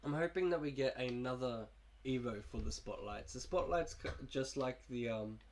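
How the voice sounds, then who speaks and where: Young guy with a cold, talkin through his game lineup, chill and rambly, normal pitch. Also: normal speed, darkish tone. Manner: laid-back, rambling, fillers and restarts. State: sick, coughing. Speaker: gaming YouTuber. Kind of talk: casual gameplay commentary.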